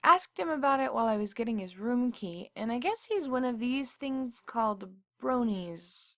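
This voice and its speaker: A woman's voice: fairly high pitch, sing-song, moderate pace, fairly bright tone, no echo, clean studio sound. A woman playing a chatty, wry small-town hotel clerk narrating her diary in an audio story. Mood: wry, gossipy.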